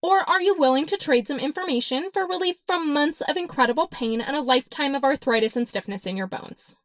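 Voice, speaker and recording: A woman's voice: high-pitched, brisk, no echo, studio quality, close to an everyday voice. A woman voicing a cocky young superheroine in an audiobook, brash and taunting as she interrogates. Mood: taunting, threatening. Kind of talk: audiobook character acting.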